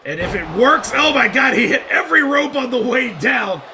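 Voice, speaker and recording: A man calling wrestling matches play by play in a jokey, rambling style, breaking into shouted calls of the action. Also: very high voice, very animated, moderate pace, crowd noise. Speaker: play-by-play commentator. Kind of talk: comedic wrestling commentary.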